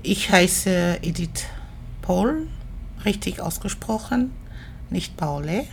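A middle-aged woman, a performance artist and activist, answering interview questions slowly and thoughtfully with many hesitations and filler sounds. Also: on the low side, lively intonation, creaky, a little hiss or hum.

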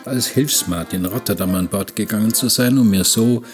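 A man's voice: on the low side, moderate pace, over music, natural voice. A man acting as a reflective, wistful traveller who narrates a literary radio play in the first person. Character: reflective wistful traveller working aboard a cargo ship. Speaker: narrator and protagonist.

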